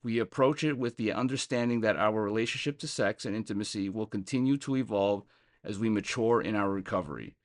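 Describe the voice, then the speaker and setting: A man's voice: fairly low pitch, brisk, dry recording, clean studio sound. A man reading a scripted daily meditation as a reader on a recovery podcast.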